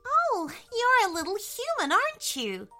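A woman's voice: very high-pitched, huge pitch swings, measured pace, sharp tone, faint music behind, pitched above the performer's own voice, full character voice. A woman voicing a playful, polite little mother hen in an emotional, exclaiming storybook style. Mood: playful, bright.

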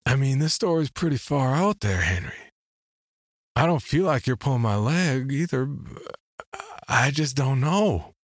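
A man voicing an incredulous, excitable younger man in story dialogue, exclaiming and speaking casually. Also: fairly low pitch, normal speed, no room sound, clean studio sound, voice pushed up, lightly acted. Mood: hesitant. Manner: exclaiming, sputtering, casual swearing.

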